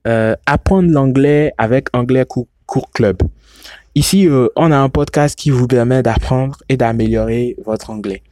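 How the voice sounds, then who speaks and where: A man's voice: medium pitch, unhurried, warm tone, no room sound, clean studio sound. A man talking casually about podcasts he likes, often restarting and repeating words, whose first language comes from the Yoruba family. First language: a language derived from Yoruba. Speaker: podcast reviewer. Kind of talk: casual recommendation monologue.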